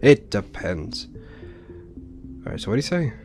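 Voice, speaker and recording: A man's voice: medium pitch, big pitch swings, measured pace, darkish tone, soft background music. A man giving informal, explanatory commentary as he hosts a video game playthrough. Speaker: Let's Play host. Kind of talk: casual gameplay commentary.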